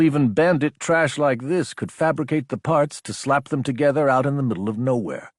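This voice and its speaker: A man's voice: medium pitch, no room sound, clean studio sound. A man reading action fiction as a dramatic, scripted audiobook narration.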